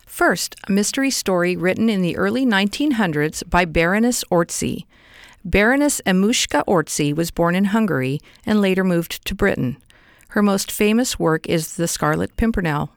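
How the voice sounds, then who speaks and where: A woman's voice: mid-range voice, lively intonation, moderate pace, no echo, studio quality. A woman announcer reading a scripted radio introduction.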